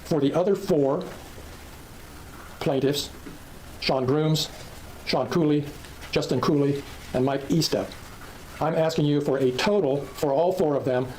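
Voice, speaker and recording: A man, a lawyer, giving a formal and measured closing argument to a jury in court. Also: on the high side, a little mellow, slight background noise, poor audio. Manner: measured and formal, listing demands.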